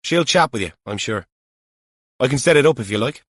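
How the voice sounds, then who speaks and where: A man's voice: medium pitch, expressive, brisk, a bit bright, dry recording, studio quality, pitched above the performer's own voice. A man voicing a seasoned, colloquial police officer with a wry, emphatic manner in an audiobook. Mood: matter-of-fact.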